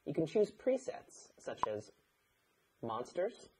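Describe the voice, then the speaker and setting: A man, narrating a scripted tutorial in a measured, instructional way. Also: fairly high pitch, big pitch swings, mellow, slightly rough. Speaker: audio engineer, instructor. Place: indoor studio, seated at a desk.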